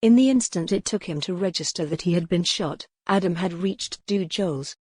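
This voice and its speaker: A woman narrates a historical romance audiobook in a fairly low register. Her delivery is scripted dramatic storytelling, recorded dry with hardly any room sound. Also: normal speed, a little mellow, slightly rough, dry recording.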